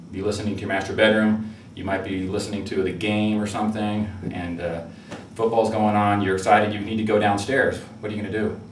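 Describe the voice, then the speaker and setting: A man giving an informal product demonstration, explaining conversationally and a little hesitantly as a presenter. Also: low-pitched. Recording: roomy, distant mic with faint noise.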